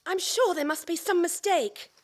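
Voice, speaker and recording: Plaintive, very high-voiced woman as a young wife. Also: normal speed, a little hiss or hum. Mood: distressed, plaintive. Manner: plaintive, earnest. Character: tearful, loyal young wife. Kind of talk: radio play acting.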